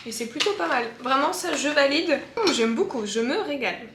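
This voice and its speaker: A woman's voice: high-pitched, animated, moderate pace, fairly bright tone. A young woman casually chatting as she tastes food for her vlog, candid and full of fillers. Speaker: food-tasting vlogger. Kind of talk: casual taste-test chat. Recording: echoey room with faint background hum.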